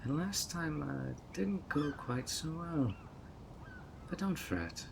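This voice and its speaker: A man's voice: on the high side, expressive, takes it slowly, gravelly, background noise, full character voice. A man voicing a sweet but obsessive male fairy who coaxes a guest in an audio roleplay, with a honeyed, playfully sly manner. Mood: sweetly scheming. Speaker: fairy host of a tea party.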